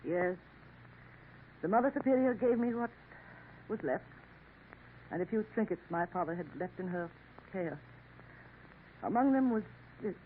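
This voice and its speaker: An actress playing a sorrowful young woman in a 1950s radio drama, gentle and hesitant. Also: medium pitch, animated, unhurried, pauses often, lightly acted. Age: young adult. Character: sorrowful young woman working a Paris café. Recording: tinny old radio broadcast, faint hiss.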